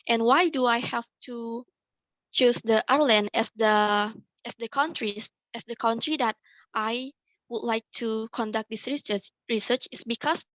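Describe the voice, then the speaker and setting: A woman's voice: on the high side, a little slow, a bit bright, no room sound, highs cut off. A young woman presents her student research. She speaks hesitantly, often repeating and restarting words. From Indonesia. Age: young adult. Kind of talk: academic presentation. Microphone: video call.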